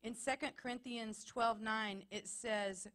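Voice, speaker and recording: A woman's voice: on the high side, fairly even intonation, unhurried, fairly bright tone. A woman from Texas with a Texan accent, preaching a sermon in an earnest way that builds to emphatic repetition. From Texas, USA. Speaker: pastor. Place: church.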